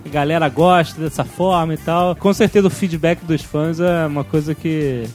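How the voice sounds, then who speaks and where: A man's voice: on the high side, steady tone, moderate pace, a little mellow. A man with a Brazilian accent in a casual podcast chat, talking in a slangy, effusive and agreeable way. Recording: faint music behind the talk.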